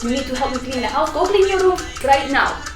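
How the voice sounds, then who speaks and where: A woman's voice: sits high, animated, fairly quick, pitched above the performer's own voice. A woman playing a strict, bossy middle-aged mother who scolds and gives orders. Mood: scolding. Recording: echoey room with loud music.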